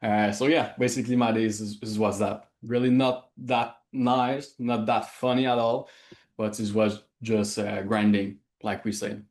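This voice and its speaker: A man from Quebec with a Quebec French accent, a native French speaker, answering interview questions in English and often pausing to search for words. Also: fairly low pitch, flat delivery, darkish tone. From Quebec, Canada. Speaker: interview guest. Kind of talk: casual interview.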